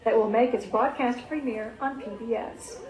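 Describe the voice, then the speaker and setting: Higher-voiced woman announcer, echoey recording. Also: steady tone, moderate pace, loud music behind the voice. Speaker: promotional video announcer. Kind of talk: scripted product explainer. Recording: roomy archive video, faint noise.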